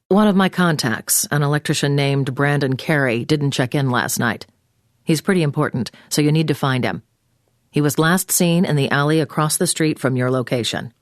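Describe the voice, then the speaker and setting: An actress playing a brisk, commanding intelligence agent in a video game, giving mission orders in a businesslike, clipped way. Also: female voice, deep voice, dry recording, studio quality, close to an everyday voice. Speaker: mission handler over the phone. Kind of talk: scripted video game voice acting.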